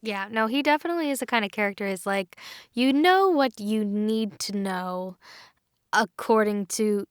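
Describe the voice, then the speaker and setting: A woman's voice: mid-range voice, normal speed, clean tone, dry recording, studio quality. A woman chatting casually in a cast discussion with a playful manner. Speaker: cast member in a behind-the-scenes discussion. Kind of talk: casual chat.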